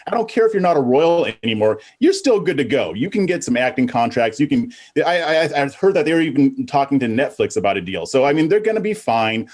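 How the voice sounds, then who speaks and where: A man's voice: medium pitch, big pitch swings, brisk, hardly any pauses, darkish tone. A man giving casual opinions as a panelist, dismissive and joking, often stumbling into restarts.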